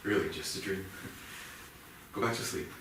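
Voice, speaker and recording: A man's voice: low-pitched, close to an everyday voice. A man playing a haunted, anguished police officer in a staged play reading. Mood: haunted, troubled. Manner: halting, stammering. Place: small theatre, staged reading. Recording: noisy, echoey theatre from far off.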